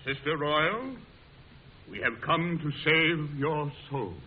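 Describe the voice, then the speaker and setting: An actor playing a pompous, preachy, hypocritical religious zealot in an old-time radio drama. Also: male voice, a little high, slow, slight background noise. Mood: sanctimonious. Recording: muffled old radio recording, crowded scene.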